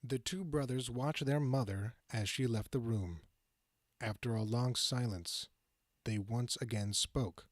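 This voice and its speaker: A man playing the composed narrator of a fantasy audio drama, measured and formal in scripted delivery. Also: mid-range voice, no room sound, clean studio sound, lightly acted.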